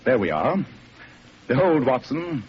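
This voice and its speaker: An actor playing a brisk, commanding English detective, precise and imperious, in 1940s radio drama style. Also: male voice, medium pitch, very animated, a little mellow, slightly rough, exaggerated voice. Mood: confident. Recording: dull, noisy 1940s radio recording.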